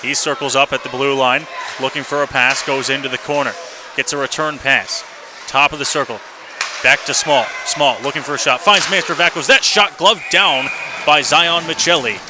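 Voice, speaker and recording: A man doing live radio play-by-play of a hockey game as the announcer. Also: mid-range voice. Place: indoor ice rink. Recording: noisy live arena radio broadcast. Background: loud rink ambience and noise.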